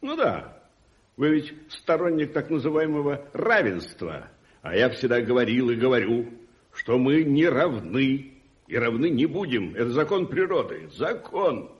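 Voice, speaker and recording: An actor playing a wealthy, self-assured older gentleman uncle in a radio play, with a theatrical, condescending manner. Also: mid-range voice, sing-song, measured pace. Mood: sardonic. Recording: dull muffled 1981 radio recording.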